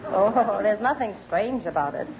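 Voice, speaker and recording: A woman's voice: mid-range voice, expressive, normal speed, natural voice. Dry, easily astonished female bar regular played by a woman, 1940s radio comedy, muffled noisy recording. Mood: dry disbelief.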